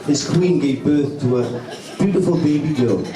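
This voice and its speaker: High-voiced male pantomime narrator in echoey hall. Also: moderate pace. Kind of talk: scripted storybook narration on stage. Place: large indoor club hall with noisy audience. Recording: echoey hall, loud crowd, distant mic.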